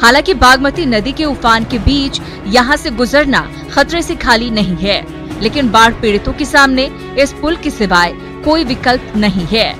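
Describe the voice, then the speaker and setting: A woman reading a scripted news voice-over in a measured, formal way. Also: fairly high pitch, lively intonation, music in the background. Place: studio voice-over.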